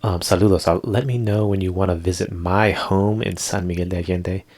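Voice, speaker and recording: A man's voice: deep voice, fairly even intonation, brisk, a little mellow. A man hosting a casual solo podcast, reading tweets aloud and rambling through his comments with laid-back asides. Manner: laid-back, rambling, chuckling asides, lots of "dude" and "you know". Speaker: podcast host.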